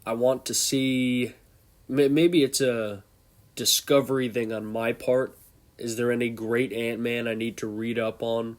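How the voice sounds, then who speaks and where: A man's voice: mid-range voice, level tone, unhurried. A young man with a congested voice, hosting a casual, off-the-cuff tier-list commentary and thinking aloud as he goes. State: congested from a cold. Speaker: host.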